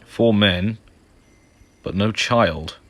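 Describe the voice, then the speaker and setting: A man's voice: lowish voice, very slow, noisy background. A man giving casual commentary as he plays through a video game. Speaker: gamer doing a playthrough.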